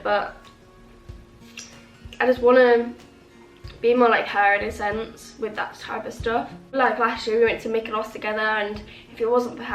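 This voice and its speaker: A young woman vlogging to camera, a little high in pitch and sniffly with a cold. She rambles along chattily, full of "like". Her voice rings in an echoey room with faint music underneath. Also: a bit bright. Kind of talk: casual chat to camera.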